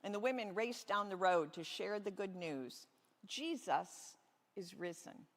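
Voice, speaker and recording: A woman pastor telling a Bible story to children in a gentle, expressive storytelling way with deliberate emphasis. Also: medium pitch. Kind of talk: children's sermon, reading a Bible story aloud. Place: large reverberant church space. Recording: echoey hall with long reverb.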